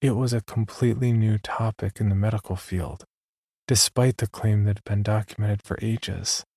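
A man performing scripted first-person horror narration as an uneasy young man, in a measured, confiding way with deliberate pauses. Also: lowish voice, steady tone, a little mellow, no echo. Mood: wary, confiding. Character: uneasy young man recounting his sleep disorder. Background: background music.

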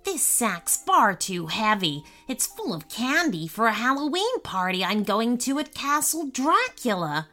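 A woman plays a friendly male werewolf from a children's storybook. He is polite, grateful and easygoing, with a bright, lively delivery over faint music. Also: fairly high pitch, measured pace, few pauses, exaggerated voice. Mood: grateful, weary.

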